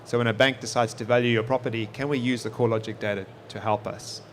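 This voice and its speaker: A man's voice: medium pitch, fairly even intonation, quick pace, slight background noise. A man in his forties with a South African accent, a guest explaining things in easygoing conversation at a live podcast on an expo stage. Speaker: guest, technology executive. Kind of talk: conversational interview answers.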